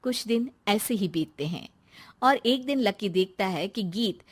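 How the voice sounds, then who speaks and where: A woman's voice: mid-range voice, lively intonation, unhurried, husky. A woman narrating a scripted moral story as a storyteller.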